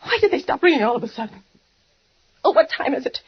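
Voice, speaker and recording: A woman's voice: very animated, fast. An actress playing a frantic bedridden woman alone with a telephone, haughty and hysterical, in a 1940s radio thriller. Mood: frightened. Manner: shrill, haughty and hysterical, sobbing breaks. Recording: muffled old radio broadcast.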